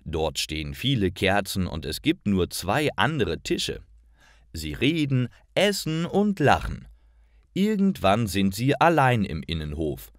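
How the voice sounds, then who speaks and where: A man narrates a simple story for language learners in a fairly low voice. His delivery is slow and deliberate, with careful enunciation. The recording is close and dry. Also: very animated, no room sound.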